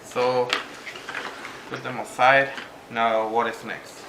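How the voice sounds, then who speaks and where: A man's voice: mid-range voice, flat delivery, slow pace, creaky. A man giving a casual unboxing review to viewers, speaking hesitantly and slipping between two languages. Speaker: vlogger. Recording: echoey room with faint background noise.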